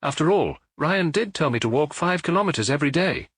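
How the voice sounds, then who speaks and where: A man voicing Maigret, a dry, ironic middle-aged detective on holiday, a little higher than his narrating voice. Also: lively intonation, brisk, fairly bright tone, slightly rough, dry recording. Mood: wry.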